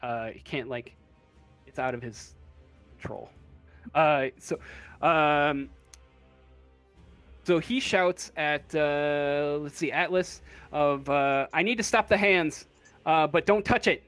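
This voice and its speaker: A man acting as game master, improvising narration for a tabletop role-playing game in a halting way, thinking aloud. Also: fairly high pitch, fairly bright tone, clear, resonant tone, no room sound, faint music behind.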